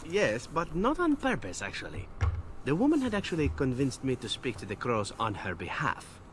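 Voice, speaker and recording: An actor playing a roguish, flirtatious assassin in a video game, telling tales in a suave, glib, teasing way. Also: male voice, mid-range voice, huge pitch swings, normal speed, a little hiss or hum. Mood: breezy, unrepentant. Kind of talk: video game dialogue acting.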